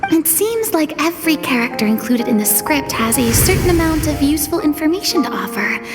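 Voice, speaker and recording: A woman's voice: high voice, lively intonation, brisk, over music. An actress playing a sensible, analytical young woman in a video game, talking in a thoughtful, matter-of-fact way. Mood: thoughtful, practical.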